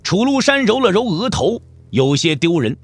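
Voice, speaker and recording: A man's voice: high voice, very animated, normal speed, husky. A man narrating a scripted Chinese wuxia audio drama as storyteller.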